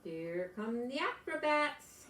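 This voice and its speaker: An older grandmother reads a children's story aloud. Her delivery is slow and very animated, with exclamations aimed at young listeners. The room around her sounds echoey. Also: a little high, a little hiss or hum. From United States. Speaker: grandmother reading picture books aloud. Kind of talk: children's storybook read-aloud with chatty asides.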